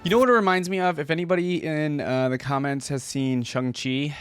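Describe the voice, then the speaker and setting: A man's voice: a little high, fairly even intonation, fairly quick, soft background music. A man giving casual reaction commentary as the host of a reaction video.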